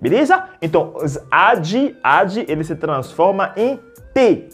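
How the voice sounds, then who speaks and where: A man's voice: sits high, sing-song, a little slow, breathy edge, soft background music. A man who grew up speaking teaches a language lesson in Portuguese, explaining step by step and checking in with the learners. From a French-speaking country. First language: French. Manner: explanatory, keeps checking in with "beleza?" and rephrasing with "ou seja". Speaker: French teacher.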